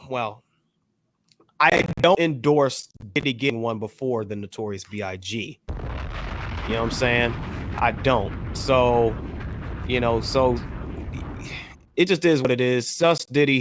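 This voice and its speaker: A man co-hosting a casual hip hop discussion, circling around his point in a measured, qualifying way. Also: mid-range voice, fairly bright tone. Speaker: podcast co-host.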